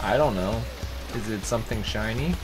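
A man's voice: lowish voice, moderate pace, music in the background, rough recording. A man co-hosting a let's play, talking casually about the game. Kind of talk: casual gameplay chat.

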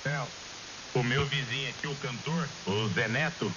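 A man's voice: medium pitch, moderate pace. A Brazilian man chatting casually over ham radio in a colloquial storytelling way, often adding little tag questions. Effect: shortwave ham radio transmission. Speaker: amateur radio operator in a group contact. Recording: dull shortwave radio with loud hiss.

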